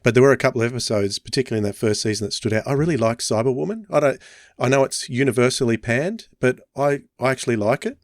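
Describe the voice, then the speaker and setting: A man hosting a podcast in a conversational way, picking his words with pauses. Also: mid-range voice, dry recording, clean studio sound. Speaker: podcast host.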